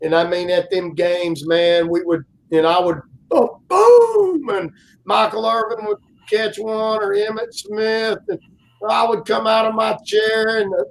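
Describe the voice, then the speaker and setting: Slow-talking high-voiced man, rambling. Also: gravelly. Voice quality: loud, carrying voice. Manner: rambling storyteller, many fillers and restarts. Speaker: guest co-host. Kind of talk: casual live-stream chat.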